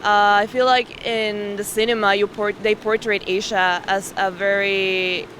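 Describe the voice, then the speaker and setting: A woman's voice: mid-range voice, flat delivery, unhurried, fairly bright tone, rough recording. A young woman from Mexico answering interview questions casually. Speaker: street interviewee. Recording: outdoor street with traffic noise.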